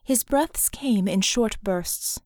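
A woman reading scripted fiction as an audiobook narrator, measured and dramatic in her storytelling. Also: medium pitch, a little mellow, no echo, studio quality.

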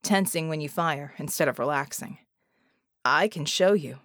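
A woman's voice: sits low, moderate pace, fairly bright tone, dry recording, studio quality, voice pushed down. A woman voicing a confident, roguish male genie in an audiobook, drawling as he coaches. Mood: teasing.

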